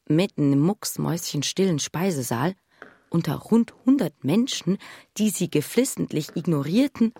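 A wry woman narrator in a radio play, low and husky. She tells her memories in measured, observant phrases with very lively melody, in a dry studio sound. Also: no echo, full character voice. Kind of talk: scripted first-person radio drama narration.

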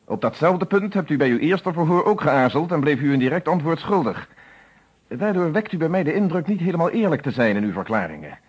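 An actor playing a stern, probing male police inspector in an old Dutch radio detective play, speaking formally and with measured authority. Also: fairly high pitch, big pitch swings, breathy edge. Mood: admonishing. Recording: dull lo-fi old radio recording.

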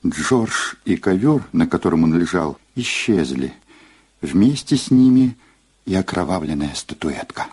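An actor playing a grave narrator, reading scripted dramatic narration for a crime audio drama. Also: male voice, low voice, expressive, unhurried, a little mellow, slightly rough, exaggerated voice. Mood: ominous.

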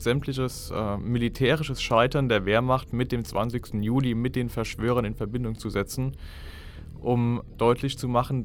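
A man's voice: medium pitch, a little hiss or hum. A man giving formal, factual historical commentary as an expert in a documentary.

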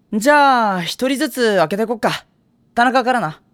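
An actor playing a mild, friendly teenage boy in an anime audio drama, speaking gently and casually. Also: very high-pitched, lively intonation, unhurried, dry recording, heavily acted. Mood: amused.

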